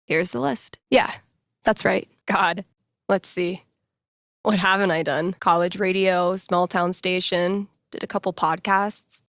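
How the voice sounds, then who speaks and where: A woman's voice: medium pitch, no echo, close to an everyday voice. A woman playing a young indie radio DJ and tabletop gamer in a video game, musing to herself with dry, self-deprecating sarcasm. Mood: nervous, self-mocking, then casual, slightly guarded. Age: young adult.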